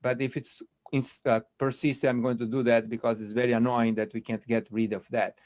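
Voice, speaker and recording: A man moderating an online biochemistry quiz on a video call, speaking in a measured way with frequent fillers. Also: medium pitch, level tone, a little mellow.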